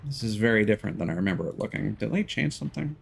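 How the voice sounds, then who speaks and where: A man live streaming a game, thinking aloud in casual, halting, muttering talk with some swearing. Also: fairly low pitch, expressive, creaky, breathy edge.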